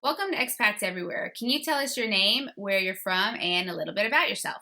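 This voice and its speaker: A woman's voice: medium pitch, animated, quick pace, sharp tone, soft background music. A woman hosting an interview, asking short, upbeat questions. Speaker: interview host.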